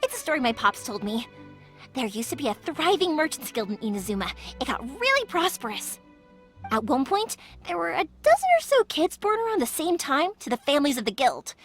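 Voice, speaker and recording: An actress playing a cheerful young woman who makes fireworks, bubbly and quick to laugh. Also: sits high, no echo. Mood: storytelling.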